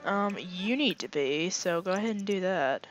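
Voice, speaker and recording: A young woman with a raspy voice that is fading from illness, chatting casually through a let's play with lots of fillers and asides. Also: fairly low pitch, lively intonation, moderate pace, soft background music. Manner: rambling, filler-heavy, chatty. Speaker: gaming channel host. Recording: slightly dull lo-fi home recording.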